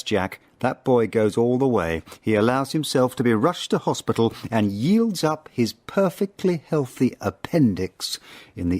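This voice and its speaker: Man playing a haunted english spy narrating in a radio play, low dry voice, wry n deliberate. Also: a little mellow, dry recording, lightly acted. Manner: ironic, deliberate, literary. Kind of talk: radio drama dramatised narration.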